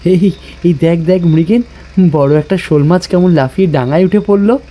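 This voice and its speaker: A man playing an excited village fisherman friend in an audio story. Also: high-pitched, normal speed. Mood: excited, hushed.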